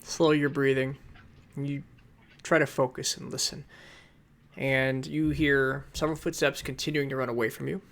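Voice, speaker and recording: A man narrating as game master for a tabletop game over an online voice call, pausing often with fillers as he describes the scene. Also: medium pitch, flat delivery, creaky, a bit bright. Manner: hesitant narration with many fillers. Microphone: computer mic on an online voice call.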